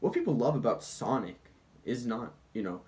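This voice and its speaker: A man's voice: medium pitch, big pitch swings, normal speed, darkish tone. A man giving casual, comedic film commentary in an informal, conversational way with mock-defensive asides. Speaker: film commentator presenting points. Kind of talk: casual comedic video essay, bantering with an unheard sidekick.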